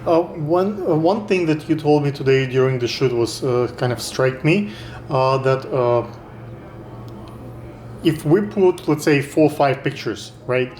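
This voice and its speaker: A man's voice: medium pitch, measured pace, darkish tone. A man hosting a casual interview who asks long, rambling questions and often breaks off to restart them. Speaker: interviewer, host. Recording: echoey room with faint noise.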